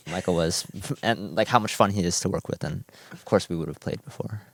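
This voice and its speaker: A man in his twenties from New Jersey with a very deep voice. He talks about music eagerly and earnestly, filling his pauses with uhs and ums. The sound is dry and close. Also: no echo. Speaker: cellist, music student. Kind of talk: radio interview. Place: radio performance studio.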